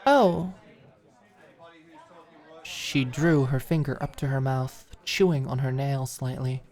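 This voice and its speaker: A woman's voice: very deep voice, darkish tone. Woman narrating a dramatic audiobook. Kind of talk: scripted dramatic story narration.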